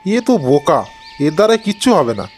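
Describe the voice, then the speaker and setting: Man acting a measured, higher-pitched village elder. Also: very animated, darkish tone, faint music behind. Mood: calm, concerned. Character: older village father and sage-like holy man, calm, advising. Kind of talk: Bengali cartoon moral-tale character acting.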